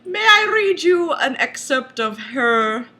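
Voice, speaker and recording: Female reader for comedic novel narration: theatrical, overemphatic, very animated, unhurried, mock-dramatic. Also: high-pitched. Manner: theatrical, exaggerated emphasis.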